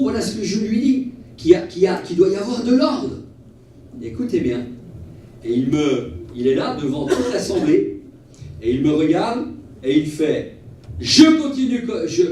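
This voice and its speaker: A male evangelist speaks in a very reverberant room, picked up from some distance. His voice is slightly high and mellow. He delivers an earnest, animated sermon built on personal stories, repeating key phrases for emphasis. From Portuguese family origin. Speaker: travelling evangelist. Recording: echoey hall, distant mic, faint noise.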